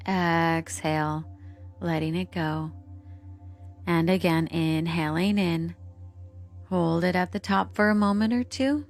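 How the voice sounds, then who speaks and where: A woman narrating a scripted guided meditation in a soothing, hypnotic delivery, with measured instructions and lingering pauses. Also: on the low side. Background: calming meditation music.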